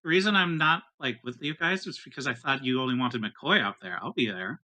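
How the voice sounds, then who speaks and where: A man's voice: medium pitch, animated, a little fast, bright, forceful tone. A man talking casually as a player in a tabletop game.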